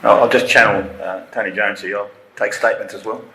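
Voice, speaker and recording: A man chairing a conference debate, brisk and a little jokey, with hesitant fillers. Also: lowish voice, steady tone, pushed and bright. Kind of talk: moderating audience questions. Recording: echoey hall, faint noise.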